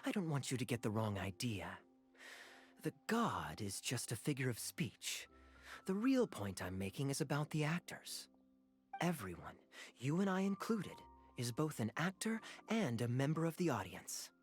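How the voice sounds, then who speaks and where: Guy voicing suave young game adventurer, playful n philosophical, really animated, music behind. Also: mid-range voice, moderate pace, lightly acted. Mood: wry, musing. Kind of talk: video game voice acting.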